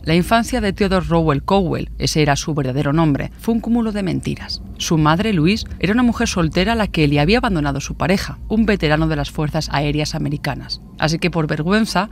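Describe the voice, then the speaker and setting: A woman's voice: low voice, a little fast, hardly any pauses, slight background noise. A woman delivering scripted true-crime narration as a podcast narrator.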